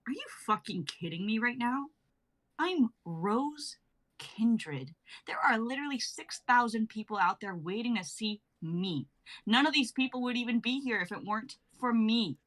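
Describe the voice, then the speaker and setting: A woman's voice: a little high, animated, moderate pace. Woman reading a foul-mouthed diva singer. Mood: angry. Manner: swears a lot, swings from shouting to whispering. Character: self-absorbed young woman singer, volatile. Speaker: actor in a live script reading. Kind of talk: amateur dramatic reading.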